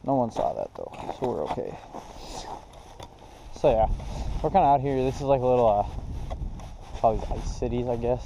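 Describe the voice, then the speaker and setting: A man's voice: medium pitch, sing-song, normal speed, vocal fry, long pauses, noisy background. A young man, casually narrating an ice fishing vlog outdoors on a frozen lake, chuckling often. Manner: laid-back, chuckling often. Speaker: fishing vlogger. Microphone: action camera. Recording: muffled outdoor camera audio.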